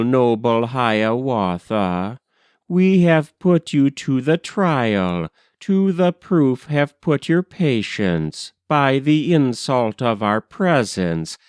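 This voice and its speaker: A man voicing gentle, mournful ghosts of the dead in a grave, incantatory verse recitation. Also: mid-range voice, smooth, dry recording, clean studio sound. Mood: solemn farewell. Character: gentle, mournful ghosts of the departed, solemnly counseling.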